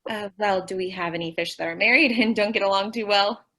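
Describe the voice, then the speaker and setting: A woman acts a sweet, scatterbrained young woman from old-time radio comedy. Her voice sits at a middle pitch with a slightly rough, husky edge. She sounds earnest and completely literal-minded. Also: brisk, bright, forceful tone. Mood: naive, cheerful.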